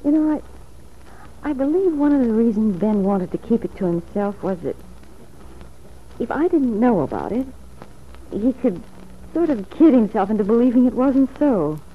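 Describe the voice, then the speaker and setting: A woman's voice: on the high side, animated, moderate pace, pauses often, close to an everyday voice. An actress playing a troubled, wavering wife who plots with her lover in a radio drama, earnest and hesitant. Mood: concerned. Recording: tinny, noisy old radio recording.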